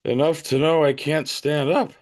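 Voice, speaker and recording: A man's voice: on the high side, fairly even intonation, normal speed, a little mellow, natural voice. A man playing a woozy, drunk and anxious newspaperman in an audio play, stammering and muddled. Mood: groggy, confused.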